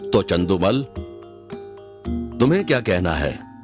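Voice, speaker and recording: A man's voice: sits low, big pitch swings, takes it slowly, music in the background, full character voice. A man playing a stately, authoritative emperor holding court in a Hindi cartoon. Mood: stern, regal. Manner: regal, authoritative.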